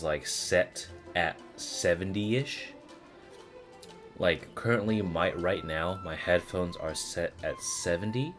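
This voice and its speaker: Deep-voiced, rambling male game streamer. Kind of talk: casual chat while streaming a video game.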